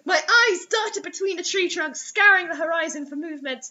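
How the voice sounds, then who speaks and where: A woman's voice: very high voice, moderate pace, bright, forceful tone. A young adult woman reading a story aloud dramatically, with sarcastic, mocking commentary. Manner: theatrical, sarcastic. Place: small room indoors. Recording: dull lo-fi webcam-style sound.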